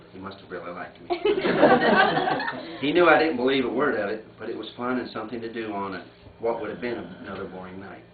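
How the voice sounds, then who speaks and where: Male family storyteller, lowish voice, laughs easily, echoey lo-fi room sound. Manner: animated, often breaking into laughter. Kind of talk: informal storytelling and reading a letter aloud among family. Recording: muffled phone-like audio, echoey, distant, noisy room.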